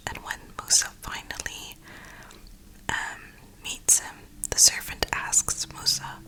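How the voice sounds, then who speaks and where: Slow, whispering woman in ASMR style. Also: pushed and bright, poor audio. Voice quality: breathy, unvoiced whisper. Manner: reflective, unhurried, with drawn-out pauses. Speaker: ASMR video creator.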